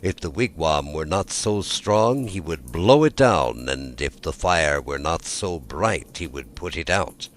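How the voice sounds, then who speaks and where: Deep-voiced, expressive male storyteller. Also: a little hiss or hum. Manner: measured, dramatic emphasis. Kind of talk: scripted fairy tale narration.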